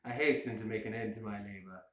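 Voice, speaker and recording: A man's voice: lowish voice, fast. A man giving an amateur dramatic reading of a gothic horror story. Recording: roomy echo, faint hiss.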